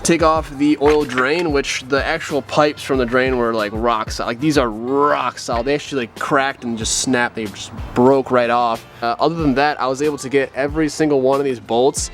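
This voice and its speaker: A young man casually chatting to viewers while working on a car engine, excited and slangy. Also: medium pitch, moderate pace, hardly any pauses, over music. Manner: excited, slangy, talks to viewers as "you guys". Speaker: car build vlogger. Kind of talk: casual garage chat to camera.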